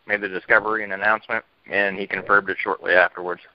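A man's voice: fairly low pitch, little pitch movement, fast. A man relaying reports in casual conversation on a group phone call. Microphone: telephone. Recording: muffled noisy phone line.

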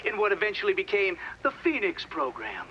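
An actor playing a stern older senator, formally and insistently questioning a witness in a video game cutscene. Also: male voice, sits high, sing-song, fairly quick, background noise. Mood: stern, probing.

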